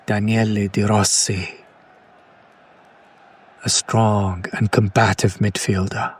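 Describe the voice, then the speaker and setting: A man playing a condescending, smitten kidnapper in an ASMR roleplay, speaking in a hushed, breathy, lingering way. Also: on the low side, flat delivery, takes it slowly. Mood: hushed, admiring. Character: condescending yandere male kidnapper, smitten with his captive. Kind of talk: whispered ASMR roleplay, slow soft recitation.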